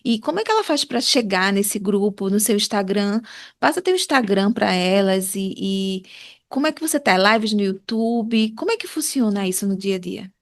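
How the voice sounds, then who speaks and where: A woman's voice: mid-range voice, animated, moderate pace. A woman with a Brazilian accent hosting a podcast in chatty, conversational talk, often checking in with short tag questions. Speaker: podcast host.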